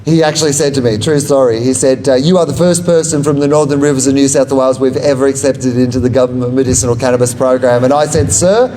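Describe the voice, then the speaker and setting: A man's voice: medium pitch, fairly even intonation, quick pace, few pauses, mellow, slight background noise. Jokey rambling man on stage. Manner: rambling, self-deprecating and jokey, laughs at own lines. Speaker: author and comedian guest. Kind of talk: live book talk with audience questions. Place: bookshop event before an audience. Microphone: handheld microphone.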